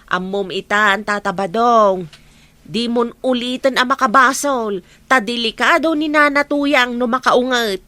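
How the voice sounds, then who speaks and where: A woman's voice: a little high, measured pace, pushed and bright, no echo. A woman acting a sympathetic, cautioning village woman in a comedy drama, with an Ilocano accent. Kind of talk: comic radio-drama acting.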